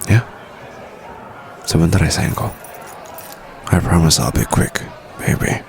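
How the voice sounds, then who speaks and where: Guy doing a indonesian mafia boss boyfriend asmr thing, really deep crackly voice, sweet n protective, crickets behind him. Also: very animated, takes it slowly, creaky, heavily acted. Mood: calm, affectionate. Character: smitten young mafia boss, protective, teasing, tenderly possessive. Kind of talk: intimate ASMR boyfriend roleplay. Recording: faint night-time insect ambience noise.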